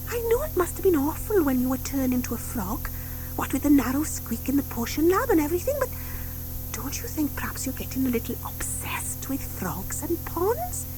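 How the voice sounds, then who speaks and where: A woman puts on a concerned schoolgirl from a children's witch story. She speaks high, with lively rises and falls, reasoning gently and a little condescendingly. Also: moderate pace, over music, low-quality recording. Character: schoolgirl witch Enid, gentle, reasoning, faintly patronising friend.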